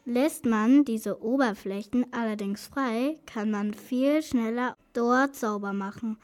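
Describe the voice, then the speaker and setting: A woman's voice: a little high, takes it slowly, a little mellow. A woman presenting scripted tidying tips to children on the radio, addressing them directly.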